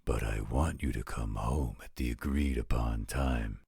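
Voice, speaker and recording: A man playing a gruff, blunt, protective werewolf with a gravelly, raspy voice in a roleplay audio drama. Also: rumbling low, measured pace, darkish tone, dry recording, studio quality, heavily acted. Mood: grudging, protective.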